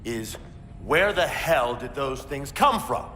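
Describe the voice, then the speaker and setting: A man playing a gruff, commanding older military captain in a video game cutscene, brusque in manner. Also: medium pitch, lively intonation, slow pace, in a large hall, noisy background. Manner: brusque, authoritative.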